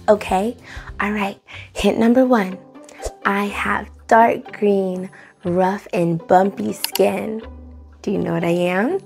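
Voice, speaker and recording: A woman's voice: medium pitch, vocal fry, a little mellow. A young woman presenting a children's read-along, encouraging and exclamatory, talking directly to her young audience. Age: young adult. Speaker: children's story time host.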